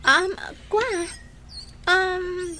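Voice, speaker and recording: An actress with an Ilocano accent playing a flirtatious, meddling woman in a radio drama, coy and animated. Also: very high voice, very slow, a little hiss or hum, heavily acted. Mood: coy, persuasive.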